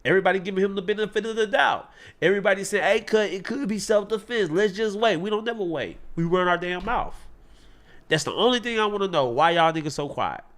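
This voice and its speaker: A man's voice: high-pitched, fast talker, a bit bright. A man in an online commentary video, talking in an emphatic, rhetorical, preachy way.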